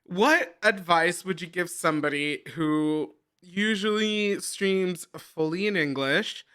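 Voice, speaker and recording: A man's voice: sits high, slow pace, bright, forceful tone, smooth. A man whose first language is Spanish, with a slight Spanish accent, co-hosting an interview and speaking earnestly. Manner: earnest, laughs easily. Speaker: co-host interviewer. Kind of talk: casual interview talk.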